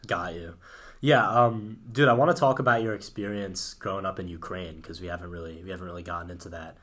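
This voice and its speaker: A man's voice: low voice, quick pace, fairly bright tone. A man hosting a podcast, asking questions in a casual, informal way with some slang. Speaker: podcast host.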